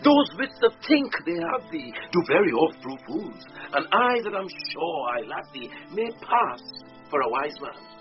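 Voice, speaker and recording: A man's voice: fairly high pitch, big pitch swings, measured pace. A man playing an impudent, witty court fool in a Shakespearean radio drama, teasing with playful riddles and mock logic. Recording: dull 1990s radio recording, faint music.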